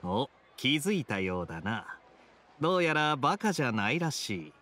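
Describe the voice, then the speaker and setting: An actor playing a suave, sly young knight captain in a Japanese video game, teasing and self-assured. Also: male voice, mid-range voice, big pitch swings, moderate pace, pushed and bright, a little hiss or hum.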